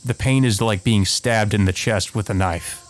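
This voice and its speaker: A man with a fairly low voice reads a visual novel aloud as a let's play host. He moves between story narration and offhand commentary. Game music plays clearly behind him. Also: normal speed.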